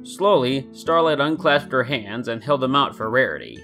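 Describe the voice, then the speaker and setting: A man's voice: mid-range voice, animated, normal speed. Man narrating a story over guitar music. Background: soft guitar music.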